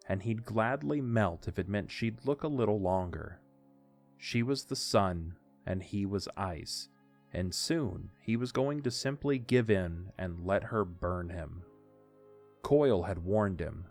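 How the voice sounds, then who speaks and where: Man, narrator, somewhat low voice, scripted storytelling, audio drama, soft music underneath. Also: expressive, slow. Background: faint music.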